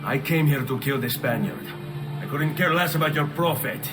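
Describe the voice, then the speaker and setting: Man as a lively young game hero. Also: fairly high pitch, moderate pace, distant mic, music in the background, close to an everyday voice. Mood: defiant. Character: defiant, vengeful young Italian man, hot-headed hero. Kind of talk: dramatic video game voice acting.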